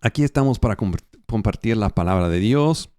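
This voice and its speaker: Low-voiced non-native man preaching. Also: expressive, a little mellow, smooth, no echo, clean studio sound. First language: not Spanish. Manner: halting, with fillers and restarts as a non-native speaker. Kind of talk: sermon.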